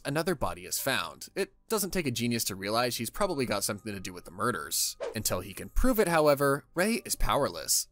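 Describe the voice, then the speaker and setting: Animated male recap narrator over music. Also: medium pitch. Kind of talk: scripted story recap narration.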